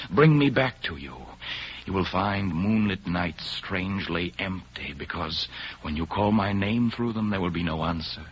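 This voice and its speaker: An actor playing a suave, fatalistic spy in a 1930s radio drama, with mocking, romantic, measured theatrical delivery. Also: male voice, low-pitched, fairly bright tone, breathy edge. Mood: tender, solemn. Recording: noisy, muffled vintage radio recording.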